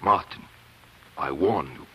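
An actor playing a grave, fatherly older scientist in 1940s radio drama, speaking deliberately and emphatically. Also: sits low, sharp tone, breathy edge, heavily acted. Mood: grave, advising.

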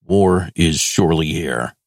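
A man's voice: a bass voice, expressive, measured pace, no room sound, studio quality. A man playing an American major general of the Second World War, reading his war diary in clipped, terse phrases. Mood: vivid, matter-of-fact.

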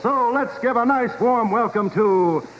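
High-voiced genial nightclub boss. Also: male voice, measured pace. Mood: announcing. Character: reassuring, genial male nightclub boss and emcee. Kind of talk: 1950s radio drama acting. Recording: muffled 1950s radio broadcast, music.

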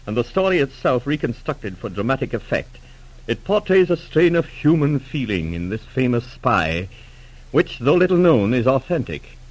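A man announcing in formal, deliberate, dramatic 1940s radio narration style. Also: mid-range voice. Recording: noisy old radio recording.

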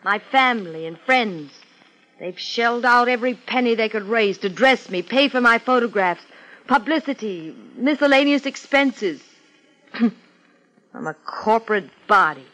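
Young beauty contestant, fairly high voice, theatrical, intense, defensive, 1950s radio play. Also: female voice, animated, a little slow, bright, forceful tone, rough recording. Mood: intense, confessing. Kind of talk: 1950s radio drama acting.

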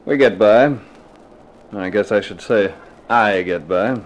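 An actor playing a tough, guarded private eye in a radio crime drama, terse, poker-faced and sardonic. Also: male voice, on the low side, slow pace. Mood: curt, defiant. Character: tough, guarded hard-boiled private eye, narrating his case. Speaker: actor playing detective and narrator. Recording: noisy old 1950s radio recording.

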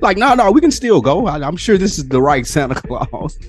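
Animated man chatting on a podcast. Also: a little high, moderate pace, soft background music. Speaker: podcast co-host. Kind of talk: casual banter and storytelling.

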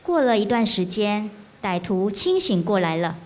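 A woman narrating scene transitions in a student radio drama, measured and storytelling. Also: mid-range voice, very animated.

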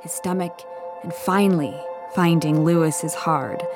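A woman's voice: on the low side, steady tone, a little slow. Woman narrating a story over music.

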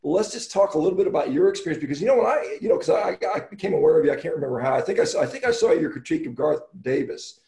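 A man's voice: fairly high pitch, animated, racing, gravelly, few pauses, warm tone. An American man in middle age hosting a casual podcast interview, talking in an informal, rambling way. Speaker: podcast host. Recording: roomy, echoing sound.

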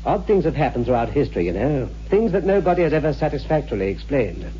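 A male actor plays a cautious, pondering husband in a vintage radio suspense play. His mellow voice moves expressively up and down, heard through a dull recording with faint hum. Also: mid-range voice, very animated, fast, close to an everyday voice. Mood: earnest, wary. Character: cautious, thoughtful husband intrigued by the uncanny. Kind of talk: 1960s radio drama acting. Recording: muffled, humming old radio recording.

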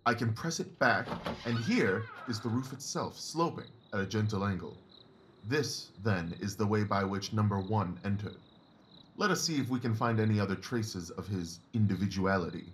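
Guy doing a victorian detective for a radio style drama, lowish voice, precise and commanding, explains everything step by step. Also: animated, a little hiss or hum, lightly acted. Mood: eager. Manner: deliberate, didactic, precise. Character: keen, commanding male Victorian detective, methodical and self-assured. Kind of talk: audio drama acting.

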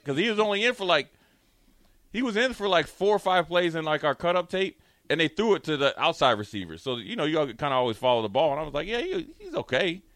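A man telling casual sports stories as a radio co-host, animated and acting out what people said. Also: medium pitch, no room sound, studio quality. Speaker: radio show co-host, former pro football player.

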